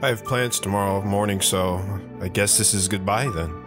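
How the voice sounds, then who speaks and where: A man's voice: fairly low pitch, normal speed, over music, natural voice. A man playing an earnest, awkward teenage boy who tells his own story in an audio drama. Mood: plain. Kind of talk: first-person dramatic narration with acted dialogue.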